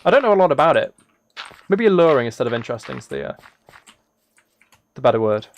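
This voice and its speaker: A man streams live in a relaxed, soft-spoken manner, with a mid-pitched voice. He rambles and thinks aloud as he games, chatting casually to viewers. Keyboard typing can be heard as he talks. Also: fast, a little hiss or hum. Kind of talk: casual livestream chat while gaming.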